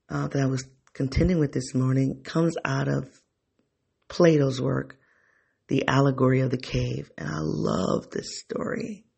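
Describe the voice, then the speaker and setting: A man's voice: medium pitch, vocal fry, a little mellow, breathy edge. A man thinking aloud in a reflective solo monologue, often rephrasing himself and talking straight to his listeners. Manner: talks directly to listeners, informal.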